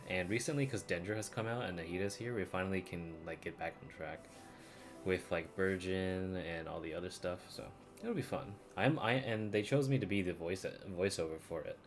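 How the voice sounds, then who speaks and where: Low-voiced man chatting on a game stream. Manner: slangy, informal. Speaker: live streamer. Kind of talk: casual gaming stream chat.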